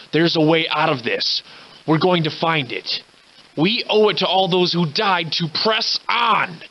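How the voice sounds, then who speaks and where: A man voicing a surly, hard-drinking, grandiloquent survivor in a video game, with florid old-fashioned diction, in slightly muffled audio. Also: on the high side. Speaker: video game protagonist voice actor. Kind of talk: theatrical video game character dialogue.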